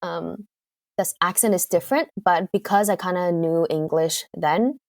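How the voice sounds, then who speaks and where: A woman with a Canadian accent, a guest in a casual interview. She speaks in a lowish voice, pausing with fillers as she thinks aloud. The recording is dry and close. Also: a little mellow, no room sound. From United Kingdom. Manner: thoughtful, hesitant, frequent fillers.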